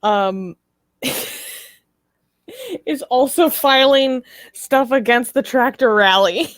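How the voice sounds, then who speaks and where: A woman's voice: high-pitched, slow, no echo, clean studio sound. A woman co-hosting a talk show, chatting informally about news with plenty of asides. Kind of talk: casual chat about news.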